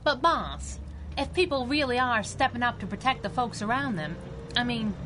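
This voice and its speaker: Sassy, lively 1930s heroine sidekick. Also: female voice, a little high, normal speed, a little hiss or hum. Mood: curious, flippant. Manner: wisecracking, sassy. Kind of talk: 1930s radio drama acting.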